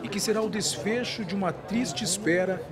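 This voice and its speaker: Solemn reporter outdoors, high voice. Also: male voice, normal speed, a loud crowd. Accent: Brazilian Portuguese. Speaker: field reporter. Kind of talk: news report. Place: outdoors at an airport and a stadium. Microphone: handheld mic.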